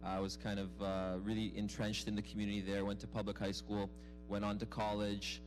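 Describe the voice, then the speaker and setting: A man's voice: on the low side, monotone, brisk, music in the background, poor audio. A young American man from Arizona speaking informally as a guest at a live event, self-deprecating, often repeating words as he goes. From Arizona, United States. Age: young adult. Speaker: guest speaker, mosque youth programs director. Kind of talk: informal personal storytelling at a public dialogue. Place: live event before an audience.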